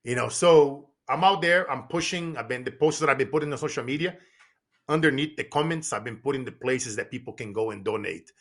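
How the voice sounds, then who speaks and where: Husky, bright-voiced middle-aged man talking emphatically. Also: mid-range voice, fairly quick. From Brazil. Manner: animated, repetitive restarts, emphatic. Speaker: guest, business coach and speaker. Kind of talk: casual podcast conversation.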